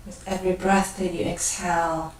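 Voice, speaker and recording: A woman leading a guided meditation, speaking gently and unhurriedly in short phrases with pauses between them. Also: on the low side, level tone, slight background noise. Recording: very echoey room, distant mic.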